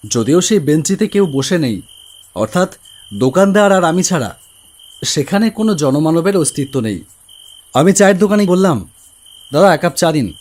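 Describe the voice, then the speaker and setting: A man's voice: a little high, a little mellow. A man giving scripted, dramatic first-person narration for a cartoon horror story. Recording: faint crickets and rain ambience.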